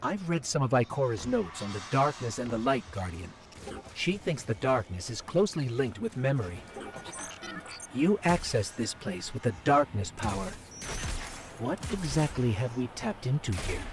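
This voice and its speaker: A man's voice: mid-range voice, big pitch swings, normal speed. An actor playing a curious, uneasy male companion on a scouting mission in a video game, inquisitive and conversational. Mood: puzzled, thoughtful. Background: music.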